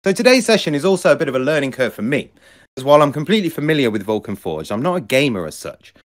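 A man's voice: medium pitch, very animated, fast. A man hosting an online interview, asking long friendly questions. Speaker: interview host.

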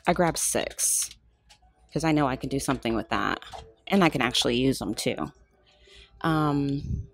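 A woman filming a shop-with-me vlog in a craft store aisle on a handheld phone, excited and gushing, often dropping to a whisper. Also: a bass voice, gravelly, noisy background. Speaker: shopping vlogger.

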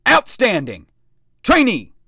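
A high, blaring drill sergeant bellows commands in a dry, close sound, as a narrator puts him on. He is harsh and commanding, every order a roar. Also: lively intonation, fast, dry recording, natural voice. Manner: barking, roaring orders.

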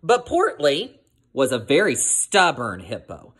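A woman reading a children's picture book aloud like a teacher, expressive and addressing her young listeners. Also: sits low, slow, husky. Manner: expressive, asks listeners questions.

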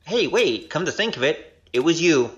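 A woman voicing a wry, quick-witted young male trickster in a kung fu audio drama. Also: low-pitched, big pitch swings, lo-fi sound. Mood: teasing surprise. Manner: wry, casual, scheming.

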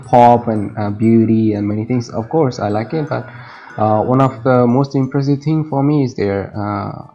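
A man's voice: on the low side, highs cut off. A young man from India, answering interview questions on a video call, hesitant with many fillers. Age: young adult. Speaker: interviewee, student.

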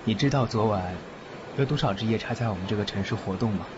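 A man playing a calm, knowing godfather who gives unhurried advice in an audio drama. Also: lowish voice, natural voice. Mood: casual. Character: calm, knowing godfather, a ghost-fighting healer. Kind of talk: Chinese audio drama acting. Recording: muffled audio with loud train noise.

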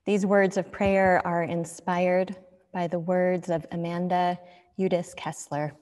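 A woman in her thirties, a minister, leading a measured, solemn spoken prayer. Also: lowish voice, level tone, a little mellow. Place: church sanctuary. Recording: long church reverb.

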